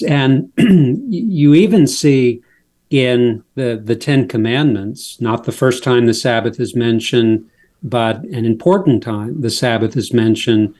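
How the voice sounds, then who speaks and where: A man who is a guest author, explaining his faith in a measured, steady interview manner. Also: medium pitch.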